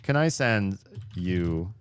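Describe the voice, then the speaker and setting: Deep-voiced Southern US man rambling. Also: animated, slow pace, clean tone. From Southern United States. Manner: rambling, self-interrupting, wry. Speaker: streamer. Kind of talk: casual livestream chat while gaming. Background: background music.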